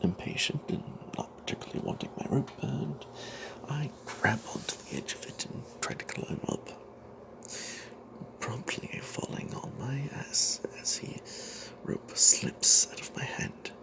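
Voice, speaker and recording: A man telling a fantasy tabletop tale in a breathy ASMR whisper, halting with long pauses. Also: medium pitch, expressive, low-quality recording.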